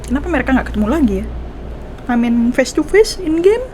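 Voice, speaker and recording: Expressive Indonesian woman reacting, noisy background. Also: high-pitched, fast. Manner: expressive, mixes two languages, repeats game lines. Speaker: gaming streamer. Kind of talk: casual game reaction commentary. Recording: clear background noise from game.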